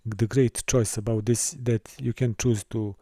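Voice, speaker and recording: A man from Serbia, teaching a course and walking through a software console, with careful, halting phrasing. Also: mid-range voice, fairly even intonation, dry recording, clean studio sound. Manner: careful, halting delivery with small restarts and non-native phrasing. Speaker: course instructor. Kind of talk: screen-recorded software tutorial.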